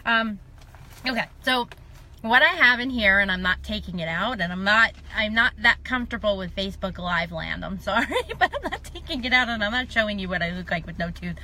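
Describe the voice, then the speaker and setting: Woman, slight lisp, bright tone, middle pitch, chatty live-stream vlogger, lots of fillers. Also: expressive, a little fast. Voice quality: slight lisp from a dental appliance. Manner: chatty and self-deprecating, many fillers. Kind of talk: casual personal live-stream chat.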